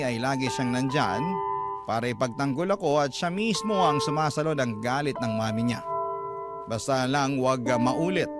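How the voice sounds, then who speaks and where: A man with a Filipino accent reading a first-person letter as scripted radio story narration. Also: mid-range voice. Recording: loud music under the voice. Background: loud background music.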